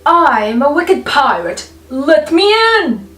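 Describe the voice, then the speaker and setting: A woman voicing a wicked, bullying male pirate from a storybook, loud, threatening and demanding. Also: high-pitched, very animated, takes it slowly, pitched above the performer's own voice, full character voice. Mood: menacing, demanding. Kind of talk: storybook villain voice. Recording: roomy, distant mic, faint music behind.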